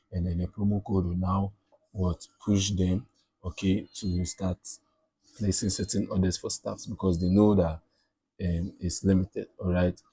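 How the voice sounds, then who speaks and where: A man giving a casual step-by-step software tutorial, often repeating himself and checking in with okay and all right. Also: deep voice, slightly rough, dry recording. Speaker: tutorial presenter.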